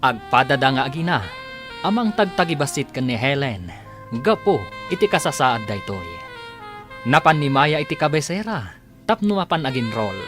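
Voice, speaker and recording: A Filipino-accented man announces and narrates a radio serial over prominent instrumental music. His delivery is dramatic and scripted, with wide swings in pitch.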